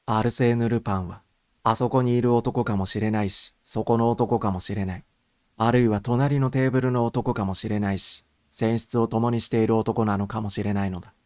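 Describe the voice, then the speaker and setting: Male narrator for a first-person mystery audiobook, lower-pitched voice, dry studio-like sound. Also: fairly even intonation, moderate pace, a little mellow, dry recording.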